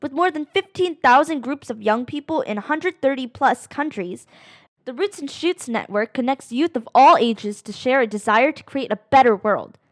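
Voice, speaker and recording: A woman reading a children's picture book aloud in an animated, expressive way, drawing out phrases for dramatic emphasis. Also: sits high, normal speed, no room sound. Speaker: read-aloud narrator of children's books.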